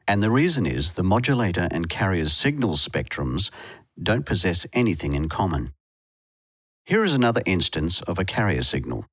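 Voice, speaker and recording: A man's voice: sits low, very animated, normal speed, fairly bright tone, slightly rough, no room sound. A man presenting a tutorial in scripted instructional narration, recorded as a close, dry voice-over. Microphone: close dry voice-over microphone.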